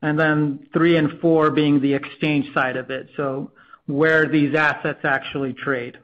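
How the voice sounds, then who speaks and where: A man's voice: a little high, level tone, a little slow, muffled recording. A man presenting business plans as a technology executive on an earnings call, with frequent uhs between phrases.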